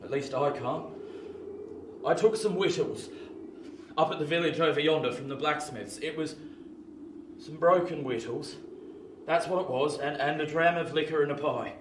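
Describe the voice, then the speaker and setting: An actor playing a rough, vengeful, defiant escaped convict in a radio play, with a forceful manner. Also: male voice, fairly high pitch, normal speed, pauses often, close to an everyday voice. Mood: subdued, gruff. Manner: forceful, vehement. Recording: roomy, distant, faint noise.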